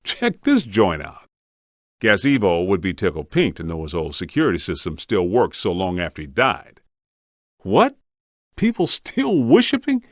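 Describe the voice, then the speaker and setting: A man's voice: mid-range voice, fairly bright tone, no echo, studio quality. A man playing a streetwise, wisecracking ghost hunter in a video game, speaking in a colloquial, wry, plain-spoken way. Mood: dry, skeptical, then exasperated. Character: streetwise, wisecracking male ghost-hunting team member.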